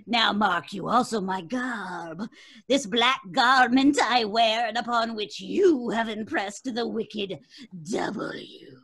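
A woman's voice: a little high, takes it slowly, a bit bright, husky, heavily acted. A woman playing a menacing, grandiose witch's ghost who declaims archaically in an old-time radio horror play. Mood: menacing, wrathful.

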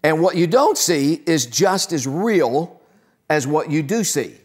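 A man's voice: fairly high pitch, sing-song, slow pace, a little mellow, slightly rough. An older man preaching a sermon in a conversational, earnest way, with frequent "uh" fillers, from a living-room church set. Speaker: pastor.

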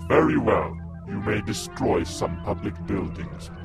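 A man's voice: fairly low pitch, a little slow. An actor playing a cold, commanding Cyberman leader through a robotic voice filter, in an amateur audio drama. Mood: menacing. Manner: flat, commanding, mechanical. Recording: loud music behind.

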